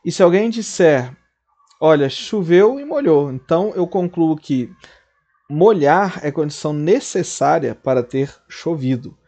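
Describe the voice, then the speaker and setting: A man's voice: on the high side, expressive, a little slow, mellow. A man, teaching a logic lesson at a board. He explains step by step and keeps checking in with his students.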